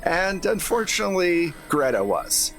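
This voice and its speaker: Higher-voiced man hosting a tabletop game. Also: very animated, moderate pace, creaky, fairly bright tone, faint music behind. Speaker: game master and host. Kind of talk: casual recap of a tabletop roleplaying game.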